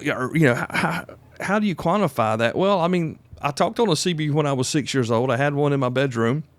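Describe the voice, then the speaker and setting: A man's voice: on the high side, expressive, fairly quick, dry recording. An American man of about fifty hosting a podcast solo, talking casually in a folksy, rambling, reassuring way. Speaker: podcast host.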